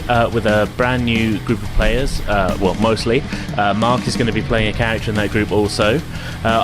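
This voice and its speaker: A man hosting a tabletop podcast as game master, giving an upbeat intro and recap with frequent "uh" fillers. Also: on the low side. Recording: music bed under voice. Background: music.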